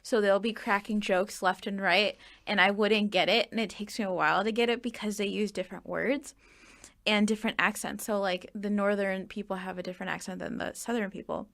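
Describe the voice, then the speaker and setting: A woman's voice: medium pitch, fairly even intonation, fairly quick, creaky, a bit bright. A young woman whose first language is Urdu, chatting casually on a panel podcast, laughing often and acting out little exchanges. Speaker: guest.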